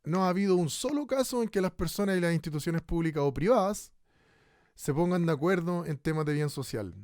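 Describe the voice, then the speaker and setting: An actor playing a male radio host who moderates a debate in a measured way, with hesitant fillers, in a radio drama. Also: on the high side, lively intonation, a little mellow, no echo, studio quality, natural voice.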